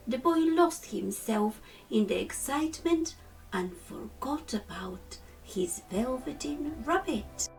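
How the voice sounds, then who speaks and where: Slow-paced woman reading a children's story. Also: medium pitch, distant mic, rough recording. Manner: measured, deliberate phrasing. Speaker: storytime reader.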